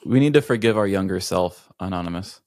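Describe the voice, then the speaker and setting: A woman's voice: rumbling low, animated, a little fast, a little mellow, no echo, studio quality. A woman co-hosting a podcast in casual chat, with a wry way of talking. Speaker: podcast co-host.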